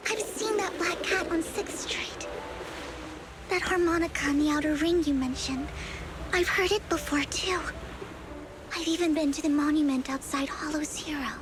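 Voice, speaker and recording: A woman voicing a devoted, formal young woman in a video game cutscene, speaking in a hushed, breathy way. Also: high-pitched, background noise. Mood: shy. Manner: hushed, breathy confiding delivery.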